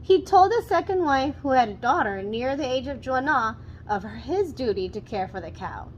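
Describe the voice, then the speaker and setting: Female storyteller for expressive children's read-aloud, slightly high register, faint noise behind the voice. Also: moderate pace, highs cut off.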